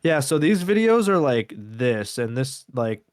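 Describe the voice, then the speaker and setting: A man's voice: mid-range voice, sing-song, unhurried, no room sound, clean studio sound. A man co-hosting a podcast, talking in a casual, slangy way with some swearing. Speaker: podcast co-host reviewing web horror series. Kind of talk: casual conversational chat.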